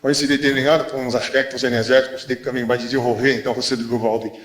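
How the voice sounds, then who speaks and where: A man's voice: medium pitch, little pitch movement, fast, roomy sound. A Brazilian man giving a rambling, unscripted lecture, full of asides and rhetorical questions. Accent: Brazilian Portuguese.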